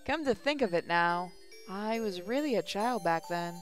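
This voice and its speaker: A woman's voice: medium pitch, expressive, moderate pace. A woman hosting a gaming channel, reading visual novel text aloud in a casual way. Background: music.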